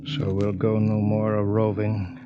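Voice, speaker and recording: Casting: male actor for earnest ship's captain torn by conscience, lowish pitch, old radio drama, clearly noisy recording. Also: completely flat delivery, measured pace, lo-fi sound, natural voice. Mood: solemn recital. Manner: terse, earnest, commanding. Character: grave, conscience-torn spaceship captain, commanding man. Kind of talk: 1950s radio drama acting.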